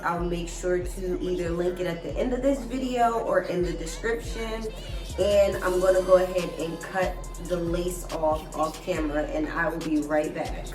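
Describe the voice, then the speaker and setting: A woman with a stuffy nose from a cold, chatting casually to viewers as she walks through a wig install. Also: fairly low pitch. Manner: chatty, keeps addressing viewers as guys. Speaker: hair vlogger. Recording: roomy sound from a distant mic with music. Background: background music.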